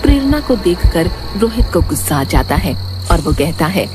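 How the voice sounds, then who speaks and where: A woman narrating a scripted story in an expressive storytelling manner. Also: on the low side, moderate pace, over music.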